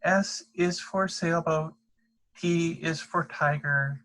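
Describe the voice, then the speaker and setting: A man's voice: on the high side, steady tone, slow, bright, forceful tone, voice pushed up, low-quality recording. A man voicing a little girl who pleads and stalls at bedtime in a storybook. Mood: singsong. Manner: pleading, questioning.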